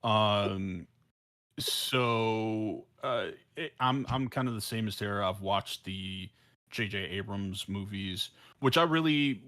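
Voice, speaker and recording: Low-voiced man in rambling podcast chat. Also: a bit bright. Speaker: podcast panelist. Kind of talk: casual fan chat.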